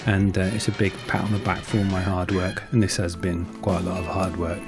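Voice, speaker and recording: Low-voiced man narrating a hobby build. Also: flat delivery, a little fast, music in the background. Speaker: host of a prop-making channel.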